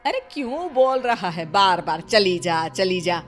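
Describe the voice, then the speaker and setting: A woman's voice: mid-range voice, expressive, unhurried, natural voice. A woman voicing a protective, scolding elderly mother-in-law in a dramatized moral story. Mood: indignant. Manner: blunt, scolding, questioning. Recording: music behind the voice. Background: background music.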